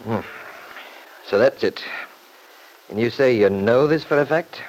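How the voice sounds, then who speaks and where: An actor playing a serious, questioning man who deliberately weighs an accusation in an old-time radio soap opera. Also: mid-range voice, darkish tone, highs cut off, slight background noise, natural voice. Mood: probing, intent.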